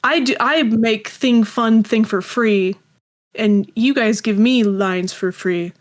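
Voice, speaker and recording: A woman chatting casually as an interview guest, talking in a rambling, animated way. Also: on the high side, takes it slowly. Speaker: guest, audio drama creator.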